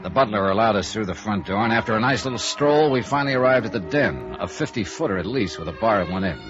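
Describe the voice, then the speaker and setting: A man's voice: on the low side, animated, fast talker, over music, lightly acted. An American man acting a tough, probing private investigator in a 1950s radio noir, narrating and questioning in a clipped, sardonic way. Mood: wry narration. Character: tough, probing male freelance insurance investigator who narrates. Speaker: lead actor in a radio detective series. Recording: dull, noisy old radio recording.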